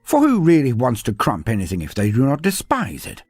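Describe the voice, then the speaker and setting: A man's voice: mid-range voice, huge pitch swings, a little fast, darkish tone, husky, no echo, soft background music. A man narrating a scripted fantasy story in a theatrical, florid and whimsical way. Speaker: narrator of fantasy lore stories.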